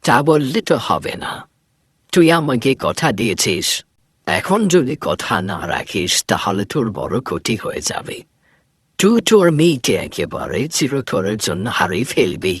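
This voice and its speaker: A man voicing a stern old sage who tests a young woman, with a husky, commanding voice. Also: medium pitch, big pitch swings, normal speed, no echo, clean studio sound. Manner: commanding, testing. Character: stern old male sage, enchanted prince in disguise.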